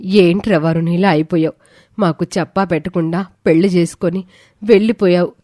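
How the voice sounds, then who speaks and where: Lady playing a hurt older mom, scolding but loving, mellow voice, dry recording. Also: lowish voice, fairly even intonation, fairly quick, no room sound, close to an everyday voice. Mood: hurt reproach. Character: reproachful older mother pleading with her son.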